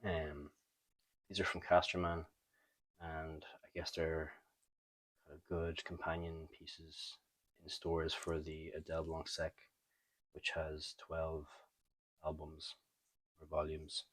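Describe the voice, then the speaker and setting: A man hosting a comic book review in a casual, unscripted way, thinking aloud with halting, trailing pauses. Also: rumbling low, monotone, a bit bright, no echo. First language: not French. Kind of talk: casual unscripted show-and-tell commentary.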